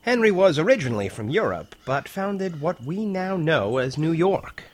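Animated, hesitant professor played by a man. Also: a little high, moderate pace. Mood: earnest, explaining. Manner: hesitant, filler-laden, explanatory. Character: earnest, hesitant male science professor with a secret. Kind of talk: audio drama acting.

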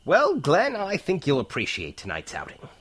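Man playing a lively, lecturing professor, higher-pitched. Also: moderate pace, husky, full character voice. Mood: eager. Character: enthusiastic male professor who discovered time-travel wormholes, patient explainer. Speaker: actor in an audio play. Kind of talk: audio drama acting.

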